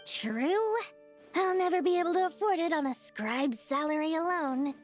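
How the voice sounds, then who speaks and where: A woman's voice: very high voice, expressive, moderate pace, soft background music. A woman voicing an easygoing, wistful young scribe in conversational video game dialogue.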